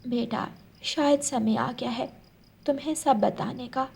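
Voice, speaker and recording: Woman as gentle mother bird, children's cartoon, soft-spoken, tender, high-pitched, husky. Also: steady tone, a little slow, heavily acted. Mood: tender, sorrowful. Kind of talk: Hindi children's cartoon voice acting.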